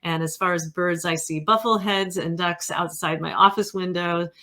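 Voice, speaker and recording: A woman's voice: on the low side, steady tone, normal speed, pushed and bright. A woman hosting a casual interview in a chatty, informal way. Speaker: interview host.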